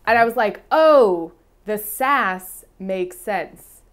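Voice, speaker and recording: A woman's voice: mid-range voice, lively intonation, slow pace. A woman in her thirties co-hosting a film review, gushing and giddy with lots of asides, at home. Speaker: co-host of a film review show. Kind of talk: casual film review chat. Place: small home room with a bookshelf, indoors.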